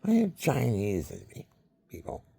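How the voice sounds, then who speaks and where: A man's voice: medium pitch, wildly swooping pitch, normal speed, vocal fry, warm tone, husky. An older man telling personal stories in a rambling monologue, talking straight to his viewers and acting out what others said. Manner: chatty, talks to the viewers, acts out quoted voices. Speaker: storyteller.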